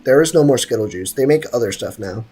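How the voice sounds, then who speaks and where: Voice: medium pitch, lively intonation, fast, mellow, husky. A game streamer chatting casually with viewers in a slangy, joking, mock-boastful way. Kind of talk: casual gaming livestream commentary, chatting with viewers.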